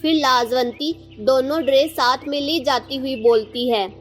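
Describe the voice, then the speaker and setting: A woman's voice: high voice, steady tone, unhurried. A woman narrator reading a scripted children's story.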